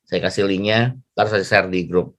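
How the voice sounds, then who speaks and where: A man leading an informal class discussion as lecturer, chatty and halting, often repeating himself. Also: sits low, fairly even intonation, fairly bright tone. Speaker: lecturer moderating an online class.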